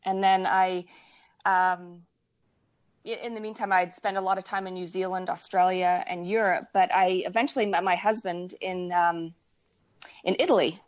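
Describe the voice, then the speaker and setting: A woman's voice: fairly low pitch, fairly even intonation, normal speed. Muffled, hesitant woman from Wales and Canada. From Wales and western Canada. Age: 40s. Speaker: needlework artist, interview guest. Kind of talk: casual chat.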